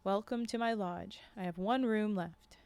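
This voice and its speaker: A woman's voice: mid-range voice, measured pace, a little mellow, no room sound, lightly acted. A woman voicing a solemn, sly male lodge keeper in a storybook, speaking in clipped broken English. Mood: mock solemn. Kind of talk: storybook character reading.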